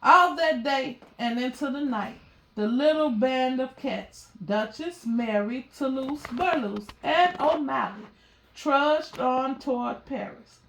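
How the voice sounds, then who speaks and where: Female storybook reader, higher-pitched, slow and measured, in an echoey room. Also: a bit bright. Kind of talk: children's story read-aloud. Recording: roomy, echoey sound.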